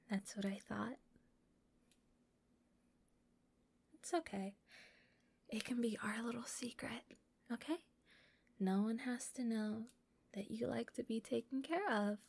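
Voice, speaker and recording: A shy yandere young woman, acted by a woman in an intimate audio roleplay. Her voice is fairly high and close, with a dry sound. She speaks very slowly and softly, doting on her captive with a possessive sweetness. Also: no echo, exaggerated voice. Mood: soothing, possessive. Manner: soft, breathy, often whispering, giggly, stammering when flustered.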